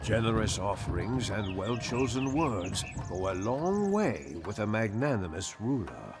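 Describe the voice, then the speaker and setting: A man narrating a historical strategy game in a measured, theatrical storytelling style. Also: on the low side, sing-song, noisy background. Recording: narration over a music bed. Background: background music.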